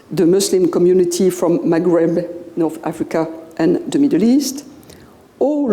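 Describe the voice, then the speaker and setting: Slow older woman with a Belgian accent. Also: lowish voice, mellow. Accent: Belgian French. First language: French. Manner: slow, measured, with frequent "uh" pauses. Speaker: guest lecturer. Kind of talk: public lecture. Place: lecture room. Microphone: podium microphone. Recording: echoey hall with long reverb.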